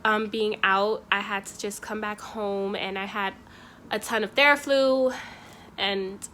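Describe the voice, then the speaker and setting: A woman's voice: mid-range voice, fairly even intonation, unhurried, sharp tone, a little hiss or hum. A young woman vlogging casually to camera with a congested, nasal voice from a cold, full of "like" and "um". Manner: chatty, filler-heavy with "like" and "um". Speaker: beauty vlogger. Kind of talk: casual vlog chat to camera.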